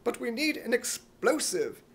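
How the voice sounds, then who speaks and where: A man voicing an earnest, excitable young hero in an imitation British accent for radio comedy. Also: very high-pitched, measured pace, husky, exaggerated voice. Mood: urgent.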